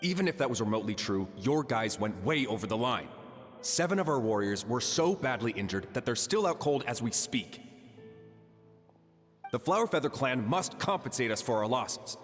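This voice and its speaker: An animated male voice of middling pitch plays an indignant warrior in a fantasy game, acted by a man. He makes demands and accusations forcefully. Long reverb surrounds him, with music underneath. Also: moderate pace, lightly acted. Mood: indignant, demanding. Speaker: voice actor in a video game. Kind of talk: dramatic game dialogue.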